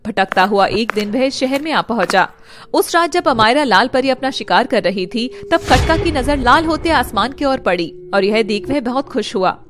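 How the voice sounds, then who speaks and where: A woman's voice: on the high side, animated, normal speed, fairly bright tone. A woman giving dramatic scripted narration of a fantasy tale for a cartoon. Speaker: storyteller.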